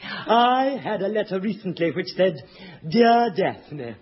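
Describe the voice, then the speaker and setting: A man's voice: high-pitched, very animated, takes it slowly, husky, exaggerated voice. A man playing a gushing lady fashion columnist. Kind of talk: 1960s radio comedy sketch. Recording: dull 1960s radio broadcast.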